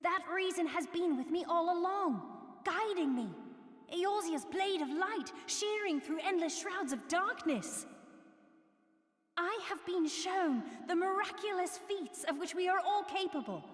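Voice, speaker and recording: An earnest young noblewoman warrior from a fantasy video game. She is loyal and resolute, with formal, archaic speech in a high voice that rings with long reverb. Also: expressive, a little slow. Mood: determined. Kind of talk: cinematic fantasy game acting. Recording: echoey, long hall-like reverb.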